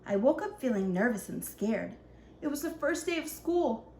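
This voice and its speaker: An earnest young ninja from a picture book , read by a woman, teaches children in simple words. The voice sits fairly high, in an echoey room with faint noise behind it. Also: sing-song, normal speed, dark tone. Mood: earnest, instructive.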